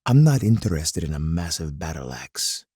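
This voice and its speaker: An actor playing a hard, commanding older colonel in a strategy game, husky and gravelly, with stern, deliberate oratory. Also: male voice, very deep voice, very animated, dark tone, dry recording, clean studio sound, exaggerated voice. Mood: intense resolve. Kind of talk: dramatic scripted game character monologue.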